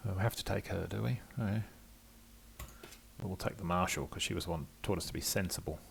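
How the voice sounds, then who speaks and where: A man's voice: sits low, fast, vocal fry. An older man giving casual, wry and understated commentary as he plays a video game. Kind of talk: casual let's play commentary. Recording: faint background noise.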